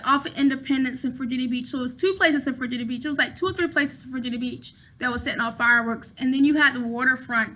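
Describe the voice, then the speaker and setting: A woman in her thirties with a high, bright voice that carries a sharp edge. She chats to her viewers from her apartment in a loose, rambling way, circling back and repeating phrases as she goes. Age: 30s. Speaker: vlogger. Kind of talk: casual talk to camera.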